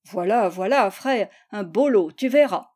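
A woman's voice: mid-range voice, expressive, measured pace, darkish tone. A woman voicing a jovial, cheeky male hunchback puppet in a storybook, calling out and teasing. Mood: teasing, playful.

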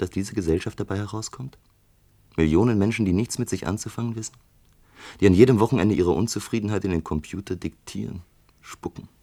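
An actor playing a brooding, sardonic out-of-work electrician at a bar in a science-fiction radio play, deep and husky. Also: male voice, mellow. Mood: bitter. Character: brooding, disillusioned unemployed electrician drinking at a bar.

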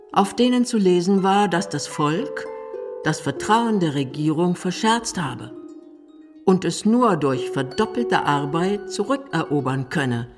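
Low-pitched woman narrating radio documentary. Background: music.

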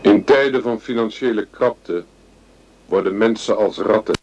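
A man's voice: lowish voice, a little slow, a little mellow, slight background noise. An actor playing a sober, grim spokesman in a documentary-style radio play. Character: sober male spokesman for benefit claimants, grimly explaining.

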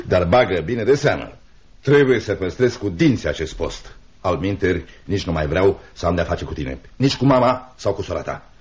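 A man's voice: deep voice, lively intonation, darkish tone. An actor in a Romanian radio play as a stern, scornful, miserly uncle, a brusque and domineering man of business. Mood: scornful, commanding. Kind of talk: Romanian radio theatre acting. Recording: dull, muffled old radio recording.

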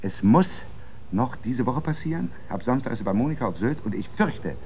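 An actor playing a scheming middle-aged married man in a German radio drama, talking in a smooth, evasive, persuasive way. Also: mid-range voice, animated, moderate pace, darkish tone, highs cut off, slight background noise, close to an everyday voice. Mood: conspiratorial. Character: scheming, evasive married man plotting against his wife.

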